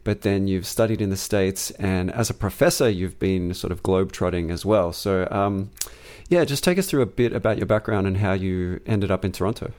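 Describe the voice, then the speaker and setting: Low-voiced male podcast host, halting. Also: a little mellow. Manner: hesitant, halting questions with frequent "um". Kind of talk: podcast interview.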